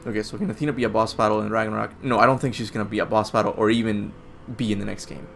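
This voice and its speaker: A man's voice: on the low side, steady tone, racing, creaky, a bit bright. Rambling young man answering viewer questions. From Cuba. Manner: rambling, self-deprecating, laughs at own slips. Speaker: gaming channel host answering viewer questions. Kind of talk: casual livestream Q&A chat. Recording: faint music behind.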